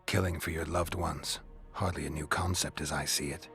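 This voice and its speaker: World-weary monster-hunting mercenary, very low voice, terse, dry, understated, fantasy game drama, faint music. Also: male voice, fairly even intonation, normal speed, a bit bright. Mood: cool, guarded. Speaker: video game character.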